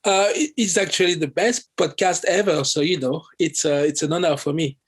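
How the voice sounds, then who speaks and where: A man's voice: a little high, normal speed, breathy edge. A man from Paris with a French accent, chatting casually as an interview guest in a hesitant, giggly way. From Paris, France.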